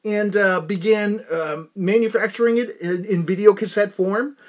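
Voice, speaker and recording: High-voiced man rambling on a podcast. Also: moderate pace. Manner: animated, self-interrupting, repeats words for comic emphasis. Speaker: podcast host. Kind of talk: rambling casual podcast monologue.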